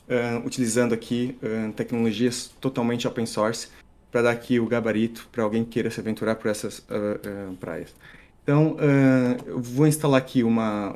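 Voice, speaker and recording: A middle-aged man from São Paulo with an accent mixing Brazilian and European Portuguese, giving a relaxed live-coding talk full of fillers and restarts. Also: medium pitch. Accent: mixed Brazilian and European Portuguese. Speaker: tech meetup speaker.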